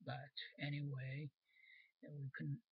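A man's voice: fairly high pitch, monotone, slow pace, slightly rough, no echo, dull audio. A man in his sixties from Japan with a Japanese accent, recounting his day in hesitant English to a webcam at home. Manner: hesitant, searching for English words. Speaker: vlogger. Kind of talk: casual spoken diary. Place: small cluttered room at home, indoors. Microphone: webcam facing him.

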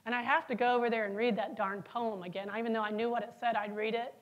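A woman's voice: fairly high pitch, fast talker, highs cut off. A middle-aged woman giving a conference keynote on stage, telling stories and asking the audience questions. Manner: lively storytelling, asks the audience questions. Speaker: keynote speaker. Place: large conference hall, on stage.

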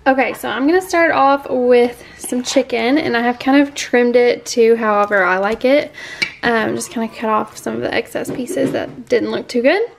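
Chatty woman with a higher voice. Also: creaky. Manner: chatty, informal. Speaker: home cooking vlogger. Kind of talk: casual cooking narration. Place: home kitchen, indoors. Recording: clattering kitchen noise.

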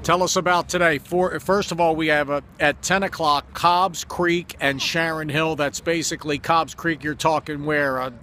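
A man's voice: fairly high pitch, fairly even intonation, normal speed, few pauses, sharp tone. A man in his fifties speaking as an enthusiastic announcer and interviewer, ad-libbing an on-location promo. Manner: enthusiastic, effusive. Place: outdoors by a sports complex. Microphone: phone at arm's length. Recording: outdoor phone video with background noise.

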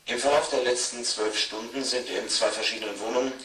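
A distant, reverberant male voice, mid-pitched, as a controlled department superior. He speaks in deliberate official language, as if through an intercom in an eighties science fiction radio drama. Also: steady tone, a bit bright. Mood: grave. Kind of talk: 1980s German science fiction radio play acting.

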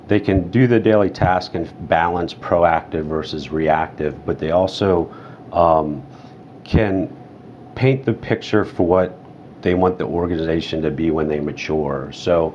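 A man in his thirties explaining points calmly as a panelist. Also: low-pitched. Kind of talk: panel discussion. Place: hotel room studio set. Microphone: lapel. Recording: dull sound, faint room hiss.

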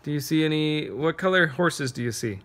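A man reading a picture book aloud to children, pausing to ask them gentle, encouraging questions. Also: fairly high pitch, animated. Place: carpeted room at home, sitting on floor.

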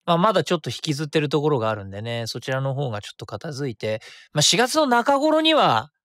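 A man's voice: a little high, sing-song, fast, a bit bright, no echo, studio quality. A man hosting his own web radio show, chatting and reading listener comments in a rambling way with many fillers.

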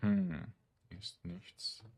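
A man giving casual gameplay commentary, thinking aloud in short, halting phrases. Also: dark tone. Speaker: let's play streamer.

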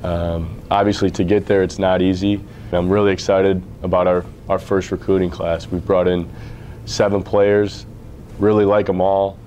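An American man in his thirties giving an interview as a basketball coach, measured and earnest. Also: low voice, little pitch movement, dark tone, slight background noise. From Illinois, United States. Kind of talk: on-camera interview about his career. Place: indoor athletics office, seated before camera.

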